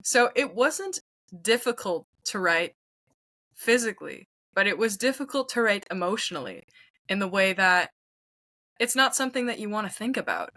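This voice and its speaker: A young woman with a very bright, forward voice speaks candidly in an interview. She sounds youthful and reflective, recorded close and dry, with an average pitch for a woman. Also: animated, moderate pace, no room sound. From Quebec, Canada. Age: 20s. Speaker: guest, singer-songwriter.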